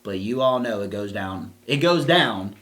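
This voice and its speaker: A man's voice: on the low side, measured pace. Rambling man co-hosting a chat show. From southwestern Pennsylvania. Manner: rambling, restarts sentences, animated. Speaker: podcast co-host. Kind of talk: casual chat.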